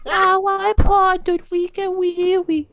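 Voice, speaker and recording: Cutesy baby-talk reciter: extremely high, drawn-out delivery, muffled noisy sound. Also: male voice, monotone, exaggerated voice. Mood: cutesy, mock-dreary.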